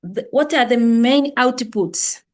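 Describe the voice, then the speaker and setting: A woman presenting a project over a video call, halting and correcting herself as she goes. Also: fairly high pitch.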